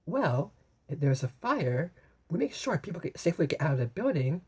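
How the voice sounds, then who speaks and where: A helpful, folksy fireman in a children's storybook, read by a woman. The delivery is patient and welcoming, with a slightly husky voice, explaining things simply. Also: deep voice, very animated, moderate pace, warm tone, exaggerated voice.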